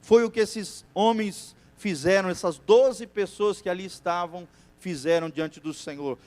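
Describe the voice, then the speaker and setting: A man with a Brazilian Portuguese accent preaching a sermon as pastor, asking his congregation for "amém" and swinging between loud emphatic bursts and quiet stretches. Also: sits high, unhurried. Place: church.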